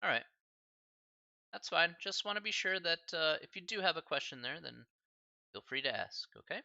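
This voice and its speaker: A man's voice: fairly high pitch, lively intonation, moderate pace, gravelly, pushed and bright, no echo, lo-fi sound. A man teaching a live-streamed math class in a casual, chatty way, full of fillers and slang asides. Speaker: math instructor.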